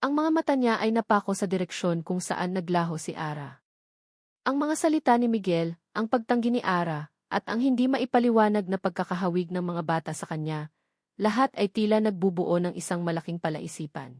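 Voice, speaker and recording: A woman's voice: on the low side, lively intonation, a little fast, darkish tone, no room sound. Woman narrating a romance story, dry close sound.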